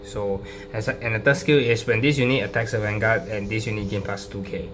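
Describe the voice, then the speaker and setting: A man casually presenting a card game deck, explaining it unscripted and card by card in a halting, hesitant way. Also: on the low side, steady tone. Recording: clearly noisy home recording with hum.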